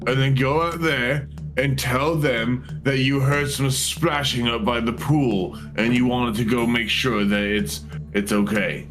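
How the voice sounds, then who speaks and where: A man's voice: medium pitch, fairly even intonation, unhurried. A man talking casually as a player in a tabletop roleplaying game, with music behind him.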